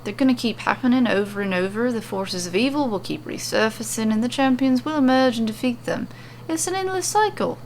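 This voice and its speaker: A woman acts out a conceited, world-weary warrior woman from a fantasy story. Her voice is fairly high with plenty of fry, dispassionate even as she brags. Some noise sits behind the recording. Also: a little fast. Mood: weary, dismissive. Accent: attempted Australian. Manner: boastful, dispassionate. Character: cocky, dismissive, world-weary female redhead fighting champion.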